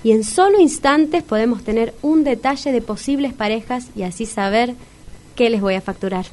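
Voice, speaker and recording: Woman playing money-minded matchmaker, Argentine accent, somewhat high voice, slick, affected, wordy, radio play. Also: moderate pace, darkish tone, natural voice. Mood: flustered. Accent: Argentine, Rioplatense. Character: Tamara, slick, officious, money-minded dating agency woman. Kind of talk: comic radio play acting.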